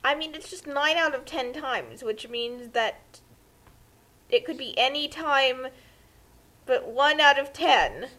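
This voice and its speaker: A woman's voice: high-pitched, expressive, takes it slowly, bright, forceful tone, low-quality recording. A woman from the United States giving casual, riffing commentary as a co-host.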